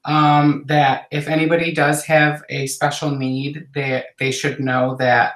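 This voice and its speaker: A man guesting on a livestream, explaining casually in halting phrases. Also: fairly high pitch, flat delivery, a bit bright. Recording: roomy, echoing call audio.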